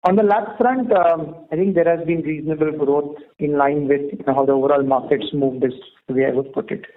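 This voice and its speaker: A man answering questions as an executive on an earnings call, in a measured, halting way with hedging phrases, over a phone line. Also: a little high, steady tone. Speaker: company executive answering analysts. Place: conference call. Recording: narrow tinny phone-line audio.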